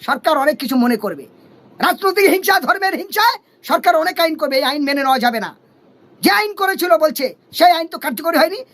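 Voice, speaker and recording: A man's voice: very high voice, animated, quick pace, fairly bright tone. A man giving a fiery, combative political speech as an activist, with impassioned, emphatic repetition. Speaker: human rights activist.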